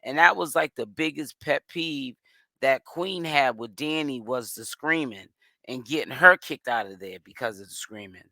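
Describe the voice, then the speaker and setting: A man with a hoarse voice, hosting and giving casual gossip commentary. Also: medium pitch, normal speed, pushed and bright, no room sound. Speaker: commentary host.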